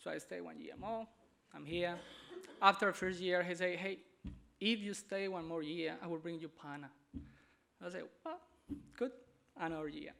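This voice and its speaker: A man with a Colombian Spanish accent presents to a conference audience, his voice somewhat high with a gravelly edge. He talks haltingly, restarting phrases and hunting for English words. Spanish is his first language. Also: fairly bright tone. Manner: halting, searching for words, repeats himself. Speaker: conference speaker. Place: conference hall.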